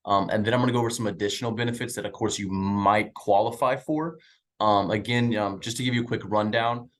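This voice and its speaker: A young man in his twenties works a sales call, rattling along at a quick clip with lots of um and uh. His voice is fairly low, his manner upbeat and chatty. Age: 20s. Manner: upbeat, chatty, filler-heavy. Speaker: insurance sales agent. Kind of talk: sales call small talk. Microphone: video call.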